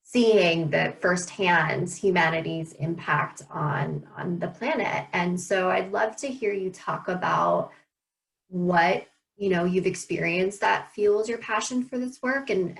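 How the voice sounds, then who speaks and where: A woman hosting a webinar, asking the guest interview questions in a measured, halting way over a video call. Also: lowish voice, creaky, poor audio. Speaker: webinar host.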